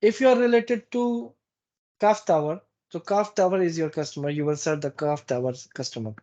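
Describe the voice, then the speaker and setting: A man giving a live software training walkthrough in a halting, instructive way, often repeating phrases. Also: fairly high pitch. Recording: dull, muffled lo-fi meeting recording.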